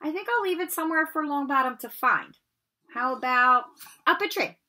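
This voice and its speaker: A woman's voice: high voice, expressive, moderate pace, pushed and bright, voice pushed up, full character voice. A woman voicing a sneering schoolboy bully with a mocking, taunting manner. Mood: gleeful mockery.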